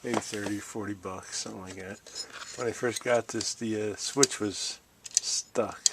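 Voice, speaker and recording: A man's voice: on the low side, fairly even intonation, a little slow, very noisy. A middle-aged or older American man giving a casual, folksy hands-on show-and-tell, musing as he goes. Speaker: DIY video host.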